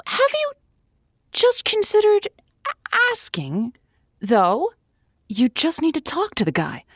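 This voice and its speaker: A woman's voice: high voice, huge pitch swings, slow, no room sound, studio quality, full character voice. A woman acting as an earnest, encouraging, slightly exasperated romantic advice host in a comedic audio drama, given to quaint pep talk. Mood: earnest, coaxing.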